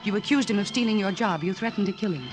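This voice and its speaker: An actress playing a composed, determined young woman psychiatrist in an old-time radio drama, speaking in a measured, articulate, earnest way. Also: medium pitch, natural voice. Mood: urgent, accusing. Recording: restored vintage radio, orchestral music behind.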